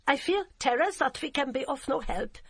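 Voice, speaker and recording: A woman's voice: sits high, lively intonation, gravelly, fairly bright tone, dry recording, pitched above the performer's own voice, full character voice. A woman voicing a curt German policewoman with a heavy German accent and broken, formal English. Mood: apologetic. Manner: clipped, formal and halting.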